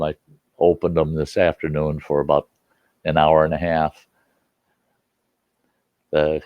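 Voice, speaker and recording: A man's voice: a bass voice, gravelly, no room sound, highs cut off. An older man with a slightly stuffy nose, chatting and reminiscing in a folksy, rambling way as he hosts a casual livestream from home. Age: 60s or older. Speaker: livestream host and storyteller. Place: indoors at home.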